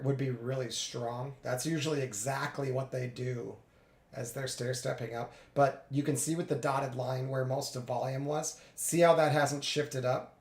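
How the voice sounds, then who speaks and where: A man getting over a cold, a little congested, narrating his live trades in a relaxed way with many fillers. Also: mid-range voice, roomy sound. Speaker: futures day trader streaming live. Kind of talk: live trading commentary.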